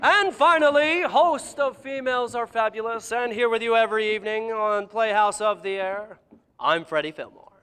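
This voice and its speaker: An actor playing a showy 1940s radio announcer hosting a live broadcast on a theater stage. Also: male voice, extremely high pitch, normal speed, bright, forceful tone, slight background noise. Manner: showy, emcee patter. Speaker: radio show host. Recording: echoey hall with crowd.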